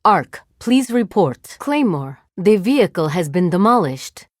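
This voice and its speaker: A woman voicing a video game cyborg woman, curious, literal-minded and coolly menacing, in formal, measured phrasing. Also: fairly low pitch, sing-song, no room sound, clean studio sound. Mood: calm, curious. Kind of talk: video game character voice lines.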